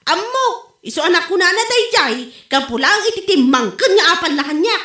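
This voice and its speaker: A woman playing a touchy, excitable village mother in a comedy audio drama, exclamatory and melodramatic, with a northern Philippine accent. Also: extremely high pitch, brisk, exaggerated voice. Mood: indignant. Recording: roomy, echoey voice recording.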